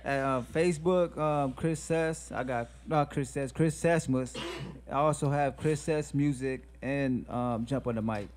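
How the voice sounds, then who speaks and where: A man's voice: medium pitch, a little slow, darkish tone. A man from Los Angeles on a casual group podcast, laid-back and blunt. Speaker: podcast co-host.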